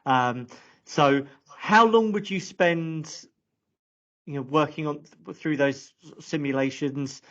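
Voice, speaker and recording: Male webinar host, fairly high voice, conversational and slightly tentative interviewer, muffled lo-fi video call sound. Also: slow, fairly bright tone. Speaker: host of a live careers webinar. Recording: dull lo-fi online call audio.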